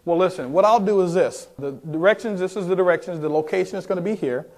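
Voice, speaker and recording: A man's voice: sits high, quick pace, darkish tone. A man speaking as a sales trainer at a motivational seminar, emphatic and often putting questions straight to his listeners. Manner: emphatic, addresses the audience with questions. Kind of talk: motivational sales seminar talk with role-played phone calls.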